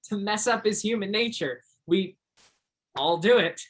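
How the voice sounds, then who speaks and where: An actor playing an earnest, curious twenty-two-year-old backwoods local in a stage play, gentle and simple in manner. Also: mid-range voice, lively intonation, a little slow. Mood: reassuring.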